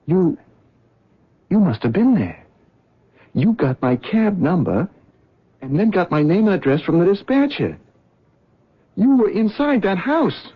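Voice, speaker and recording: A jittery cab driver who stammers, apologizes and rambles, with a lively, rising and falling voice. The sound is dull and lo-fi, like an old radio broadcast. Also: male voice, high voice, measured pace, long pauses, breathy edge, full character voice. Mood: nervous. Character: nervous, talkative male cab driver. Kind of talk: 1970s radio drama acting.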